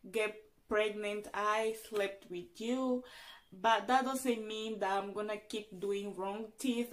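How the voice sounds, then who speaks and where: A young woman whose first language is Portuguese, telling a personal story in a vlog in an earnest, halting way, often restarting her sentences. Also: medium pitch. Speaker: vlogger.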